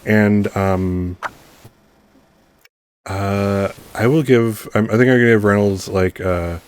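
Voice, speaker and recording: A man narrating and ruling as game master of a tabletop roleplaying game, in a relaxed, loose way. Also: fairly low pitch, takes it slowly, clean tone.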